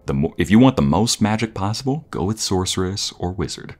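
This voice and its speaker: Very deep-voiced man, playful boyfriend roleplay. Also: sing-song, fairly quick.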